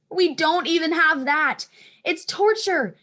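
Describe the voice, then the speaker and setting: A woman's voice: very high-pitched, slow pace, sharp tone, heavily acted. A woman playing an anxious, dramatic schoolgirl in a staged play reading, with a fretful manner. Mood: dramatic, restless.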